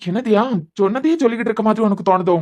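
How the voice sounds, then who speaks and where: A woman's voice: mid-range voice, rapid-fire, a little mellow, natural voice. A woman voicing a bitter, hurt young husband, sarcastic and repeating words in anger. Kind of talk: amateur audio drama.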